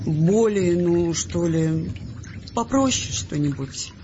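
Hurt, tender wife, muffled sound. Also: female voice, on the low side, lively intonation, slow, dark tone, slight background noise. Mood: tender, hesitant. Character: Irka, hurt, tender wife of a frightened scientist. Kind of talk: Russian audio play acting. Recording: muffled audio play with music behind.

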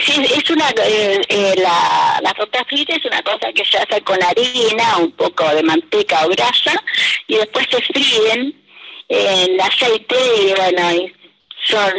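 An older woman from Chile with a Chilean accent, a guest in a radio interview who speaks hesitantly with many fillers and soft laughs. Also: medium pitch, gravelly, rough recording.

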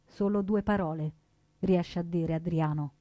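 A woman delivering solemn, emphatic scripted narration for radio theatre, with deliberate dramatic phrasing. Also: fairly low pitch, fairly even intonation, dark tone. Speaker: actress and narrator.

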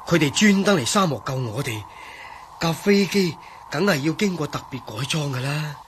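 High, animated, excitable desert sidekick. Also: male voice, normal speed. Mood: excited, doubtful. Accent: Cantonese, Hong Kong. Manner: dramatic, exclamatory. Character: excitable, naive man stranded in the desert, talkative sidekick. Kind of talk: radio drama acting. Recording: faint background noise.

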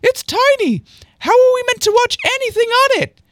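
A very high, squeaky young man, whining and dismissive. He is a bored, crude complainer from a story, pitched far up into falsetto range. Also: expressive, moderate pace, a bit bright, no room sound, studio quality. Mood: whiny complaining.

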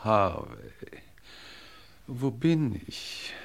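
An actor playing a befuddled, tipsy elderly English lord in an old German radio crime play, halting and theatrical. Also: male voice, on the low side, very animated, vocal fry, full character voice. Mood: miserable, weak.

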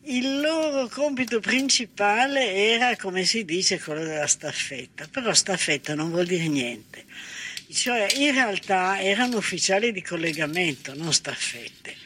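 A woman's voice: medium pitch, animated, a little slow, sharp tone, a little hiss or hum. An older woman gives spoken testimony in an interview, explaining things plainly. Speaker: former partisan giving testimony. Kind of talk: spoken recollection in a documentary interview.

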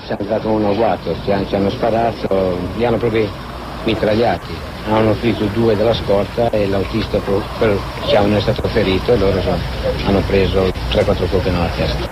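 A man giving a halting, unscripted eyewitness account in an interview, often repeating himself. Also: lowish voice, level tone. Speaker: witness interviewed. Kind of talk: spontaneous news interview account. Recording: very noisy outdoor archive news audio.

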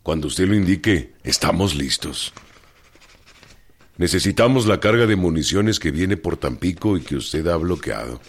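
A man playing a blunt, commanding revolutionary general in a radio drama, with deliberate, formal delivery. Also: low-pitched, expressive. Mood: formal, resolute.

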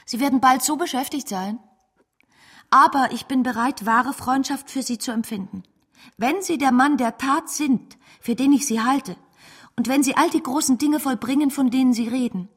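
Earnest, husky high-voiced woman. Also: normal speed. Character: earnest, self-possessed woman offering loyal friendship.

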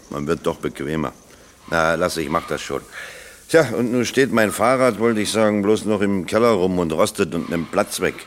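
Low, gruff, kindly middle-aged workman male actor. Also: slight background noise, natural voice. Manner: plain-spoken, helpful, understated. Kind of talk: German radio play acting.

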